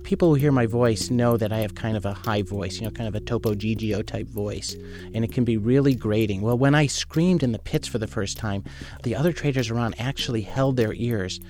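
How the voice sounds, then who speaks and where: A man's voice: lowish voice, a little fast, darkish tone, faint music behind. A man, a journalist and former trader, telling a personal story in a candid, conversational way.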